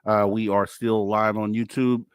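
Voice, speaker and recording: Low-voiced man hosting a talk show. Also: level tone, a little slow, clear, resonant tone, no echo, studio quality. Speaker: radio show host. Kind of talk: casual live talk show interviewing.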